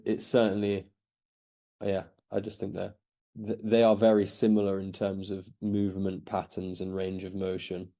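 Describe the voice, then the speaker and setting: A man's voice: lowish voice, dark tone. A man in his thirties who grew up in Belgium, answering interview questions in a casual, hesitant way with many restarts. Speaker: podcast guest.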